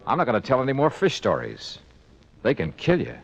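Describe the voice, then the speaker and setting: An actor playing a wry, tough insurance investigator in a 1950s radio drama, talking and narrating in a punchy hardboiled way. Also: male voice, mid-range voice, huge pitch swings, a little fast, gravelly, slightly rough, full character voice. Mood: wry, clipped. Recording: muffled vintage radio recording with music.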